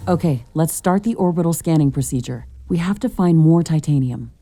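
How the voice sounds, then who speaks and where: Game role: female scientist in a mech suit, determined and curious, very dark, relaxed tone, purposeful delivery. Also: low-pitched, brisk. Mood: focused, businesslike. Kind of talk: video game voice acting.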